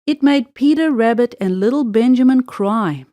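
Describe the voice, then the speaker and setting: A woman's voice: on the high side, lively intonation, normal speed, dark tone, no room sound. A woman narrating a children's storybook expressively, drawing out words for emphasis.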